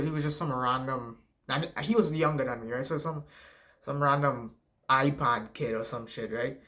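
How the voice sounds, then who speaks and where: A man's voice: mid-range voice, fairly bright tone. Rambling young Trinidadian man giving advice. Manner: rambling, profane, frequent "right?" tags. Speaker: self-help vlogger. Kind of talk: casual advice monologue to camera.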